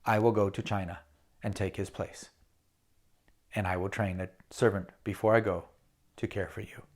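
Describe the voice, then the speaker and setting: A man voicing a humble, devoted Chinese male butler who speaks slowly and softly in broken, formal phrases. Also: lowish voice, flat delivery, pitched below the performer's own voice. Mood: grave, quiet.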